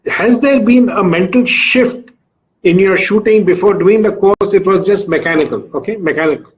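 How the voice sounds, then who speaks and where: A man's voice: sits high, normal speed, highs cut off. A man speaking as a coach and interviewer, stressing and repeating his key words with emphasis. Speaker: shooting coach, host.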